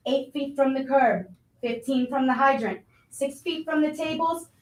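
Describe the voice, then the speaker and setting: A woman's voice: high voice, moderate pace, natural voice. A woman playing an abrasive, impatient, foul-mouthed restaurant boss in a staged reading, brash, blunt and badgering. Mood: irritated, scornful. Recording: roomy echo.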